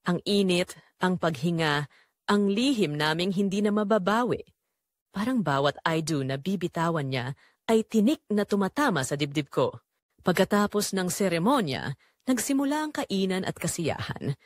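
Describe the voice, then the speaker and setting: A woman narrating as a guilt-ridden, secretly longing mother in a scripted audio drama, with a measured, confessional delivery. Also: on the low side, lively intonation, no room sound, clean studio sound, lightly acted. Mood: longing, tense.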